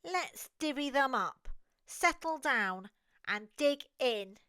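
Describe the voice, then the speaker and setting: Woman voicing a low-toned friendly English badger. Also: expressive, takes it slowly, bright, forceful tone, smooth, no echo, full character voice. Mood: genial, eager. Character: friendly, sociable badger bringing snacks to share.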